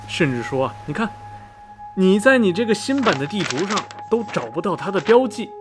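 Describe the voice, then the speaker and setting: A man's voice: sits high, very animated, fairly quick. A man playing a chatty middle-aged railway station veteran in a radio drama, folksy and rambling, with many sighs and interjections. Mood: gossipy storytelling. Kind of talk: Mandarin radio drama dialogue, storytelling. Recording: music behind the voice. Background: background music.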